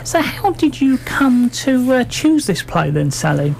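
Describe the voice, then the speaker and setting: A woman asking radio interview questions in a halting, hesitant way. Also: fairly high pitch, sing-song, gravelly, a little mellow. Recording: faint background noise and ambience.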